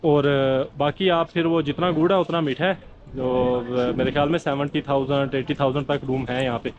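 A man's voice: medium pitch, fairly even intonation, normal speed, lo-fi sound. A man with a Pakistani Urdu accent, chatting casually as a travel vlogger walking a snowy street and laughing at his own remarks. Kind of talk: casual walking vlog commentary. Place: outdoors on a snowy busy street. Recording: crowded outdoor street noise. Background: crowd chatter.

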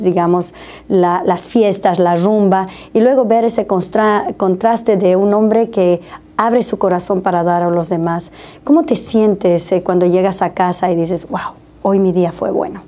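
A woman's voice: mid-range voice, dark tone. A middle-aged woman, hosting an interview in a TV studio. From Bolivia. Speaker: TV show host. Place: small indoor TV studio.

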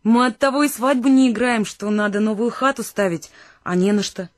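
A woman's voice: fairly high pitch, fairly quick, dry recording, lightly acted. Proud young village woman played by an actress. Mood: warm, plain. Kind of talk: radio play acting.

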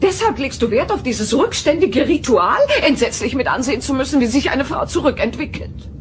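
A woman's voice: high-pitched, animated, a little fast, slight background noise. An actress playing an imperious alien noblewoman and domineering mother, pompous and condescending, in a German science-fiction radio drama.

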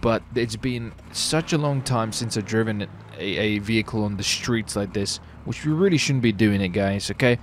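A man's voice: on the low side, normal speed, hardly any pauses, slight background noise. A man giving casual, slangy gameplay commentary as a gaming video host, hyping things up with exclamations.

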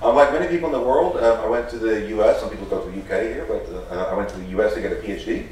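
A man's voice: lowish voice, darkish tone, a little hiss or hum. Middle-aged man lecturing in a hall. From Netherlands. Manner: earnest, halting with frequent restarts. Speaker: professor giving a lecture. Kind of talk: formal lecture, unscripted. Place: large lecture hall with audience. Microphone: podium microphone. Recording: echoey hall, picked up from a distance.

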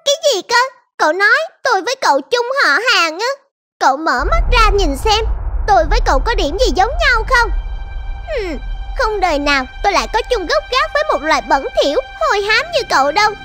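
A woman's voice: extremely high pitch, sing-song, a little fast, music in the background. A woman voicing a haughty, spoiled young pet cat in a children's cartoon, with a snooty, whiny, dramatic delivery. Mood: haughty, scornful.

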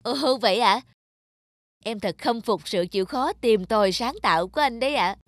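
Woman voicing a deferential young worker, high voice. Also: lively intonation, normal speed, dry recording. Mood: puzzled, admiring. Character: respectful, eager young male farm worker. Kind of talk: cartoon dub acting.

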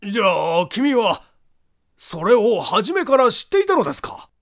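A man puts on an insistent, practical police inspector for a mystery audiobook. The voice is raised high and swings in pitch, with emphatic delivery. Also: big pitch swings, normal speed. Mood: pressing.

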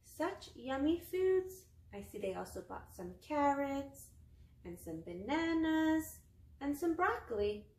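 A woman's voice: sits high, big pitch swings, takes it slowly. A young woman hosting a children's storytime, reading a picture book aloud in simple sing-song phrases. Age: young adult. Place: small living room, seated on a couch. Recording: roomy indoor echo.

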